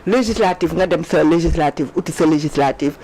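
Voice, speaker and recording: A woman with a Senegalese accent giving political commentary in an animated discussion, emphatic and repeating points for stress. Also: low-pitched, brisk, husky. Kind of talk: animated political discussion mixing two languages.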